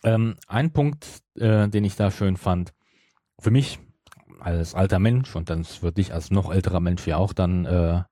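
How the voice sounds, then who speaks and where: Man, middle-aged, podcast host, casual and explanatory, mellow dark tone, dry close sound. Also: deep voice, a little slow, no room sound. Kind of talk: casual chat about an audio drama series.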